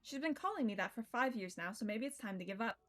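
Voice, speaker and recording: A pompous young self-styled mad scientist, lofty and sure of himself, as a woman reads him out from a visual novel. The voice stays light and fairly high, with lively swings in pitch. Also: quick pace, soft background music. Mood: wistful. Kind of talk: reading visual novel lines aloud.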